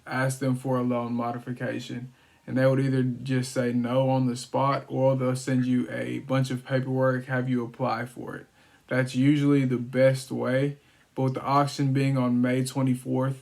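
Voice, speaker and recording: Even-toned man making casual sales calls. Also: medium pitch, gravelly. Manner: informal, explanatory. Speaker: real estate wholesaler making cold calls.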